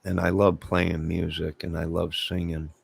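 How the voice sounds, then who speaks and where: A middle-aged man, hoarse from singing, rambling casually to viewers on a late-night livestream and greeting them one by one. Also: low-pitched, level tone, moderate pace, dry recording. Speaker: livestream host.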